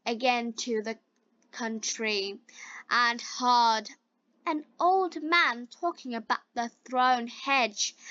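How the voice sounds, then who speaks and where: A woman reading a fairy tale as scripted narration for language learners, in halting, deliberate short phrases. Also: a little high, sharp tone, no room sound, highs cut off. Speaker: storyteller for English learners.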